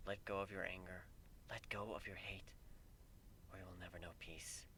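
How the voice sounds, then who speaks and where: A man plays a sorrowful, wise winged princess in a fan fiction story reading. The voice is low and hushed, nearly a whisper. The delivery is slow, grave and breathy. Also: flat delivery, slight background noise. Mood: grave warning.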